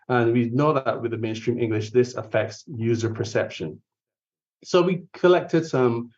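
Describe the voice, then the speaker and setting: A man's voice: medium pitch, a little mellow. A man presenting a research study at a conference, explaining it step by step with frequent fillers and self-corrections. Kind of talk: academic research talk. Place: conference venue or online session. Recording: distant, dull lo-fi conference recording.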